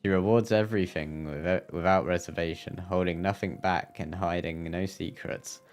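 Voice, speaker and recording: Low-voiced man reading along to a game. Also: steady tone, moderate pace, faint music behind. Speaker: streamer.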